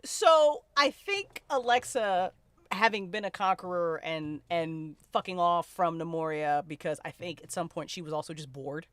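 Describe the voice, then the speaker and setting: A woman's voice: on the low side, expressive, normal speed, a bit bright, clear, resonant tone, dry recording. A woman hosting a casual live chat as a podcast writer, gushing and repeating her phrases. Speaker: host and writer of a fiction podcast.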